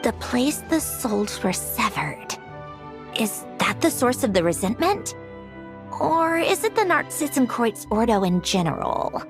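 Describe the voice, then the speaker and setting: A woman's voice: a little high, big pitch swings, unhurried, music in the background. A woman voicing a determined, slightly hesitant young woman in a video game, earnest and businesslike. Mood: focused.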